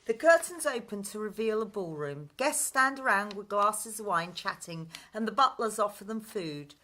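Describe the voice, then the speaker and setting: A woman's voice: mid-range voice, lively intonation, normal speed, pushed and bright. A woman reading scripted stage directions as narrator of a radio play.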